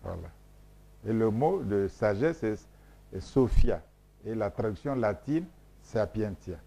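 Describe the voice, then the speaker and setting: A man's voice: lowish voice, expressive, measured pace, creaky, warm tone. Emphatic lecturing man from Congo. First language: Mboshi. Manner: emphatic, repeats points, lecturing. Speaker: scholar guest. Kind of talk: interview answers.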